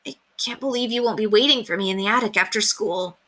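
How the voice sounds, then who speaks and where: A woman's voice: medium pitch, fast, a bit bright, pitched above the performer's own voice, lightly acted. A woman voicing an earnest, polite, tender-hearted young boy hero in a storybook read-aloud. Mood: sad, tender. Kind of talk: storybook character reading.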